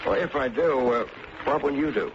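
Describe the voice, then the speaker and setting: A man's voice: medium pitch, expressive, moderate pace, background noise, natural voice. An American man playing a wry, slangy, hard-boiled private investigator who narrates his case in an old radio detective drama. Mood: probing, cool. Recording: muffled old radio recording, loud music.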